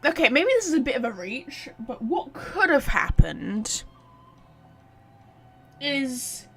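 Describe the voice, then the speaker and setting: Female game streamer, bright and fairly high, chatty, thinking aloud to her chat. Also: very animated, slow, faint music behind. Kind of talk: casual live game commentary.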